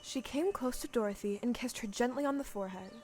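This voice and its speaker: A woman playing a measured, gentle storybook narrator in a scripted audio play. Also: a little high, faint music behind, lightly acted. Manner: measured, clearly phrased.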